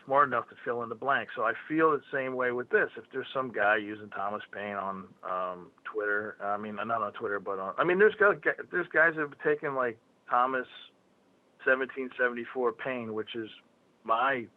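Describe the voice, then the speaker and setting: A man's voice: mid-range voice, normal speed, no echo. A man with a Philadelphia accent, talking bluntly and profanely on a phone call. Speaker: phone guest. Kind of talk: casual phone conversation. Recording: tinny phone line.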